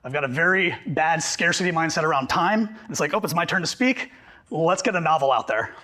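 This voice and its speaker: A young man from Pennsylvania giving an interactive workshop to a live audience in a meeting room. He talks quickly and animatedly, with a self-deprecating, chatty manner and a voice that is a little hoarse. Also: a little high, expressive, pushed and bright. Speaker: workshop presenter. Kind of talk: interactive training talk.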